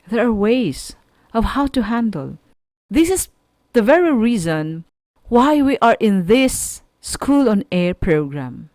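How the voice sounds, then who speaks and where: A woman with a Filipino accent teaching students on an educational radio program, speaking emphatically and deliberately in short, separated phrases. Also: medium pitch, darkish tone, dry recording, clean studio sound. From Philippines. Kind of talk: educational radio lecture, addressing students directly.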